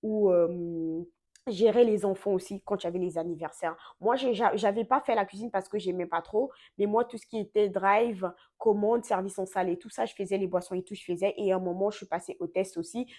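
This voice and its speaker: A young woman vlogging casually, telling a story with many fillers and quick self-corrections; French is not her first language. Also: mid-range voice, warm tone.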